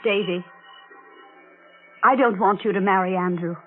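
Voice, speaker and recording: Actress as a jealous brooding sister, tinny radio. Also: female voice, medium pitch, steady tone, unhurried, lightly acted. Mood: menacing, jealous. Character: jealous, possessive, menacing sister, coldly intense young woman. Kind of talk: 1940s radio melodrama acting. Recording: tinny old radio recording with music.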